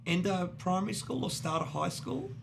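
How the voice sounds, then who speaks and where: A man hosting a podcast, relaxed and casual, dropping lots of short yeahs. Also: sits high, moderate pace, creaky, noisy background. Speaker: podcast host. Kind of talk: casual interview chat.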